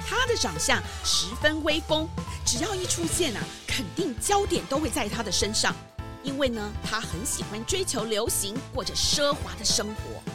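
A woman narrating a children's audio drama in an expressive storytelling style. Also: high-pitched, normal speed, music in the background.

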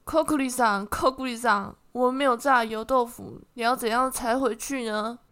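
A woman as a high-voiced panicking schoolgirl. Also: a bit bright. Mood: nervous pleading. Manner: pleading, drawn-out whining. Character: panicking schoolgirl in a ghost-summoning game, tearful. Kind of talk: horror radio play acting.

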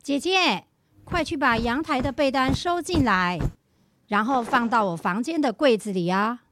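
A woman's voice: a little high, expressive, moderate pace, a bit bright, slight background noise. A middle-aged woman acting in a children's radio drama as a mother giving instructions to her daughter. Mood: instructing.

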